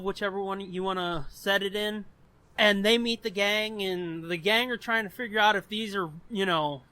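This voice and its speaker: A man hosting a podcast in casual chat, explaining things in a blunt, sweary way. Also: high-pitched. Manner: profane, blunt, animated explaining. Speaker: podcast host.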